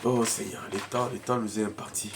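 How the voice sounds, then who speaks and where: A man preaching a sermon as pastor, who has a cold and coughs. He moves between shouted, fervent lines and quiet, mumbled asides. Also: fairly low pitch, steady tone, a little fast, noisy background. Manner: speaks in tongues in bursts.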